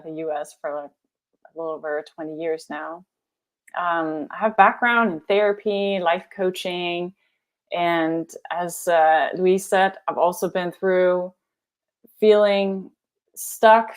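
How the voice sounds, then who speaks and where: Woman, lowish voice, soft-spoken, hesitant, life coach. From Denmark. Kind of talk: casual online chat.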